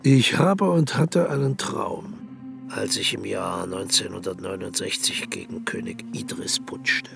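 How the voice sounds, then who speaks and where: A man's voice: lowish voice, very animated, unhurried, gravelly, full character voice. An actor playing an eccentric aging male dictator in a radio play, grandiose and self-justifying, dropping from outrage to whispers. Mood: dreamy. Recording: music bed under the voice.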